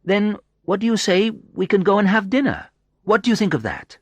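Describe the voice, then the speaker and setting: A lively, confident woman from Brazil played by a male reader in a storybook, teasing and friendly. The pitch sits high and leaps about with playful emphasis, in a dry, close sound. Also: normal speed, breathy edge, dry recording, heavily acted. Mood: warm, bright. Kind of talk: audiobook character dialogue.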